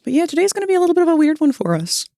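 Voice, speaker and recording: A woman's voice: high voice, sing-song, racing, warm tone, dry recording, clean studio sound. A woman streamer chatting casually with her viewers while sketching, her voice a little scratchy, greeting people by name and laughing often. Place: cold room at home.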